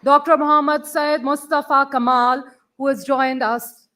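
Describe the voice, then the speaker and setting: Woman, higher voice, conference emcee, formal polite announcements. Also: steady tone, unhurried, pushed and bright. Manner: polite, ceremonial introductions.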